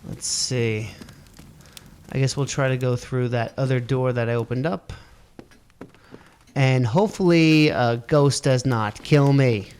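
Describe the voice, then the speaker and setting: A man's voice: mid-range voice, measured pace, pauses often, darkish tone, smooth. A man playing a video game, muttering, swearing at it and reading its text aloud. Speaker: let's play gamer. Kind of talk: casual let's play commentary, reading game text aloud.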